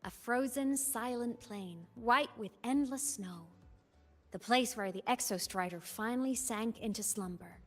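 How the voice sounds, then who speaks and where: High-voiced wistful ghost girl. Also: measured pace, faint music behind. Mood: soft wonder. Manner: gentle, wistful, teasing. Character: wistful, playful young ghost girl, former academy student. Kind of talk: video game voice acting.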